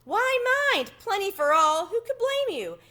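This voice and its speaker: A woman voicing an excitable, generous male city mouse in a children's storybook, eager and exclamatory. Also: extremely high pitch, unhurried, voice pushed up, exaggerated voice. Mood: sympathetic. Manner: exclamatory, eager, repeats short phrases. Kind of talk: children's storybook read-aloud.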